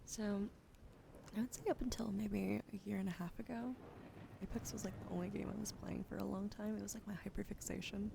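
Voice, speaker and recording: A woman's voice: mid-range voice, fairly even intonation, brisk, creaky, background noise. A young woman streamer with a wheezy throat, whispering in ASMR style, thanking viewers and swearing casually.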